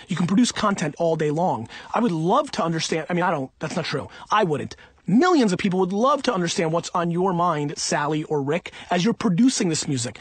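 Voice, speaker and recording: A man's voice: high voice, breathy edge, no echo. A man of about forty giving blunt, emphatic, informal advice in an off-the-cuff monologue. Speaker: audiobook author answering listener questions.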